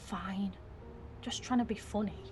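A woman's voice: medium pitch, a little slow, a little mellow, faint music behind, natural voice. An actress playing a sulky, defiant nineteen-year-old girl in an audio play, blunt and slangy. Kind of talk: audio theatre acting.

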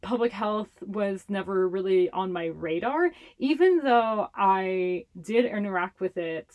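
A woman's voice: mid-range voice, animated, measured pace, lo-fi sound. Chatty young Hawaiian woman, laughing easily. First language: Hawaiian Pidgin English. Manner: chatty, laughs often while talking. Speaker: public health communicator, guest. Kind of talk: casual interview talk.

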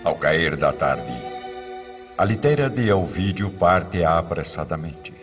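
A man's voice: low-pitched, lively intonation, slow pace. A man narrating a radio soap opera from a script in a solemn, theatrical, dramatic style. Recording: dull, reverberant radio recording with music.

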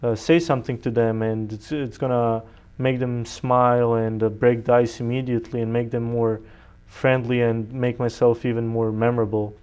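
A man's voice: medium pitch, little pitch movement, moderate pace, darkish tone, smooth. A young man from Serbia, a native Serbian speaker, answering interview questions conversationally as a college student guest. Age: young adult.

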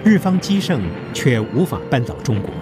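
A man's voice: mid-range voice, big pitch swings, normal speed. A man narrating a scripted historical drama as its storyteller. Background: background music.

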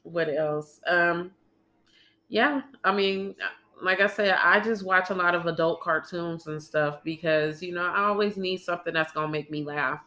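A woman's voice: lowish voice, normal speed, sharp tone. A young woman co-hosting a podcast in casual, chatty talk, congested from a cold and laughing often. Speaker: podcast co-host.

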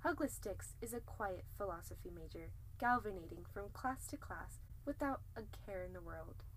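A woman's voice: medium pitch, expressive, moderate pace, a little hiss or hum, rough recording. A young woman co-hosting and narrating a student podcast in a casual, playful style. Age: young adult. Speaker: co-host and narrator.

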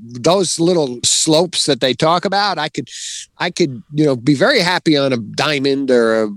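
A man's voice: a little high, expressive, normal speed, a bit bright, no echo. A middle-aged man co-hosting a podcast in a casual, conversational style about writing. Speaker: podcast co-host. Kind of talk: casual chat about writing, with some reading aloud.

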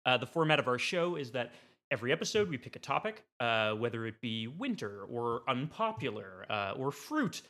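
Bright, animated man chatting on a podcast. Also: mid-range voice, moderate pace. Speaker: guest podcaster. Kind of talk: casual podcast chat.

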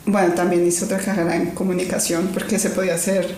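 A young woman speaks in a slightly low voice. She is reflective and easygoing, often pausing to find her words. The sound carries a noticeable room echo. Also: flat delivery, a little mellow, slight background noise. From Colombia. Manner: thoughtful, many fillers and restarts, laughs easily. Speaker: guest, designer. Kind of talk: conversational interview.

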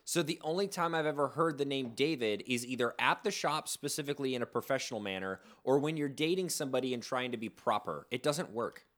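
A man trading jokes with friends on a casual podcast. His voice swings up and down a lot as he teases and tells stories, at an everyday middle pitch. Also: a little fast, hardly any pauses. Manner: animated, teasing, laughing storyteller. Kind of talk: casual banter among friends.

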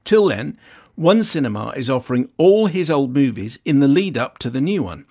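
A man's voice: medium pitch, gravelly, a little mellow. A man hosting a radio film show, reading listener emails aloud in a chatty way with wry asides.

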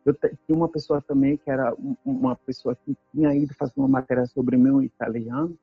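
Husky-voiced woman. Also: a bass voice, fairly even intonation, quick pace, dry recording, lo-fi sound, faint music behind. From Brazil. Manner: earnest, emphatic storytelling, tag questions. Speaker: activist speaker. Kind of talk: personal testimony talk.

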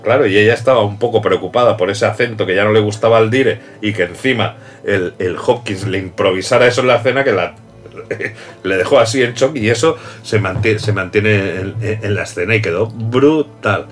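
A man's voice: fairly low pitch, a little fast, faint music behind. A man hosting a casual film chat, talking loosely, laughing often and restarting sentences. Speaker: host. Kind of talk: casual chat about films.

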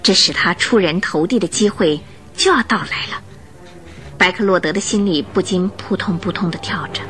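A woman reading scripted story narration for a radio drama in an expressive way. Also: lowish voice, moderate pace, faint music behind.

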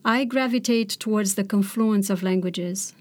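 Middle-aged woman, poet guest, measured and reflective. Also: medium pitch, a little mellow. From Romania. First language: Romanian. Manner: thoughtful, measured, searching for words. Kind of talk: poetry reading and reflective interview answers.

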